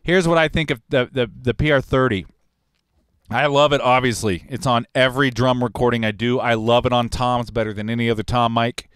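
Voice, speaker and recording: A man in his forties, a recording engineer and host, talking in a relaxed, rambling, technical way in a studio control room on a broadcast mic. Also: medium pitch, a little fast, smooth, dry recording. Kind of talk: casual livestream talk on recording. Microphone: large broadcast mic on arm, headphones on.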